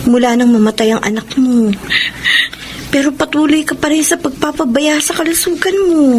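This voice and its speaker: A concerned, earnest Filipino friend, played by an actress in a radio drama. Her voice is fairly high and firm, with clear ambient noise behind it. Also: natural voice.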